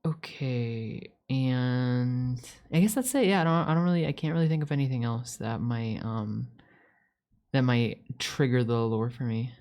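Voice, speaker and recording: A woman plays a young catboy roommate in a casual ASMR roleplay, with a very deep voice and a soft, dark tone. He is playful and a little needy, rambling along, stammering and doubling back on his words. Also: moderate pace. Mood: thoughtful, casual.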